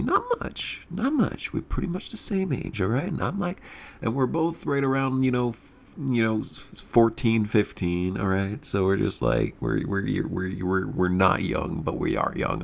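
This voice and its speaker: Animated low-voiced man telling a rambling story. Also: gravelly. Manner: rambling, repeats himself, stammering for effect. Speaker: storyteller and video creator. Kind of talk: casual, profane comedic storytelling.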